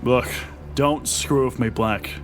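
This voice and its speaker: A man acts a senior agent in an audio drama with a medium-pitched voice. He is hostile and commanding, full of scorn and menace. Music plays behind him. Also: steady tone, slow pace, close to an everyday voice. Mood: scornful. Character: hostile, bossy senior male agent, antagonist. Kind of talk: audio roleplay drama acting.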